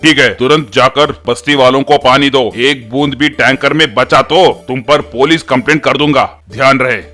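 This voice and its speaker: A bossy male chief from a comic story cartoon, acted by a man. He speaks at a medium pitch in a blunt, commanding way. Light music sits behind the voice. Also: fairly even intonation, moderate pace, bright, forceful tone, lightly acted. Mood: stern, scolding. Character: bossy male authority, gang chief or stern town officer. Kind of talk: Hindi comedy moral-story cartoon dialogue.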